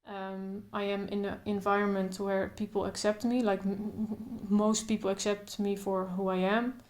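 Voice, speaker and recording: A young woman who speaks openly with a stutter, repeating first sounds and pausing in blocks, talking thoughtfully to camera in short phrases. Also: medium pitch, little pitch movement, darkish tone. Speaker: vlogger. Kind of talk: casual talk to camera.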